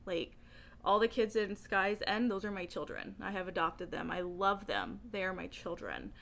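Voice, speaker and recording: A woman chatting casually and informally as a book vlogger, animated and emphatic, often giggly as she talks. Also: medium pitch, moderate pace, gravelly. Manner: giggly, laughs often while talking. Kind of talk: casual chatty video monologue.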